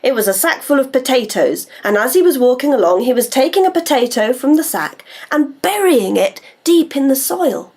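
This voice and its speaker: A British woman telling a story to young children in an animated way and coaxing them to join in. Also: high voice, normal speed, few pauses.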